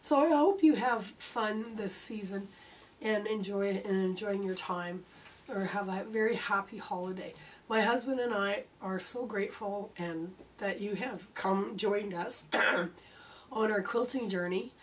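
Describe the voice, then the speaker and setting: A middle-aged woman hosting a quilting lesson, chatting warmly while she sews, her voice starting to go hoarse. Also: mid-range voice, measured pace, poor audio. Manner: chatty, homey, talks while sewing. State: voice going hoarse. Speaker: quilting channel host. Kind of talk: casual sewing tutorial.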